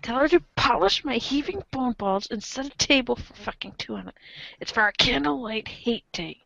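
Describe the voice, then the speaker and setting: A woman's voice: a little high, lively intonation, normal speed, vocal fry, pushed and bright, dry recording, muffled recording, heavily acted. A woman voicing a grumpy, foul-mouthed young male troll in an amateur reader's theater, ranting in exasperation. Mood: bossy, cranky. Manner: cranky, profane ranting.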